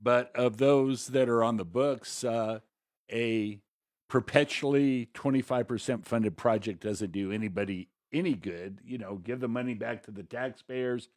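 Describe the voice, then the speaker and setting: A man's voice: medium pitch, a little slow, darkish tone, dry recording, studio quality. A middle-aged or older man co-hosting a talk show with conversational commentary on public affairs.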